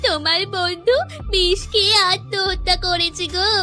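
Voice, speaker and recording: A woman voicing a scheming, two-faced village wife in a melodramatic cartoon, sharp-tongued and theatrical. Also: very high-pitched, steady tone, moderate pace, soft background music. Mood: fake wailing.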